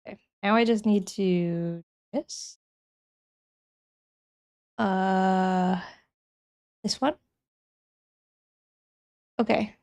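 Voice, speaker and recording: Sick young woman streamer, sniffly, bit of vocal fry, chatting w her chat and thinking out loud. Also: mid-range voice, drawn-out words, long pauses. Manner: chatty, reads chat aloud and thinks aloud while working. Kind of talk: casual live-stream chat.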